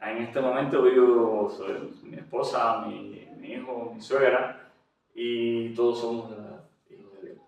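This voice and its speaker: A man's voice: mid-range voice, steady tone, unhurried, gravelly, heavy reverb, recorded from a distance, dull audio, a little hiss or hum. A man with a Cuban Spanish accent giving his testimony as an interview guest, speaking hesitantly with many fillers and restarts. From Cuba. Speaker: interview guest, general doctor.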